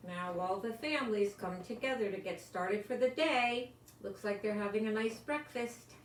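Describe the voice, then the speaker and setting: A woman's voice: medium pitch, normal speed. An older woman reading a children's picture book aloud expressively, lingering on words and adding chatty asides as if to small children. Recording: roomy home recording with faint noise.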